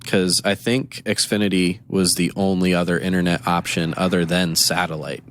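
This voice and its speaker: A man's voice: low voice, normal speed, a little hiss or hum. A man co-hosting a podcast, chatting casually and telling rambling stories with quoted back-and-forth. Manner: rambling, animated storytelling with quoted exchanges. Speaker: podcast co-host. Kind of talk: casual chat.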